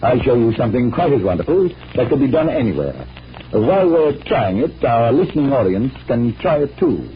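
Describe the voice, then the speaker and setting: A man playing a calm, assured magician detective, measured and instructive, in old-time radio drama style. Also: mid-range voice, slight background noise, natural voice. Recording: muffled vintage radio recording.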